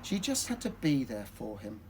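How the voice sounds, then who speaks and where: Animated Englishman reading a children's story. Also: medium pitch, moderate pace, slight background noise. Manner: expressive, dramatic storytelling. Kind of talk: children's story read aloud.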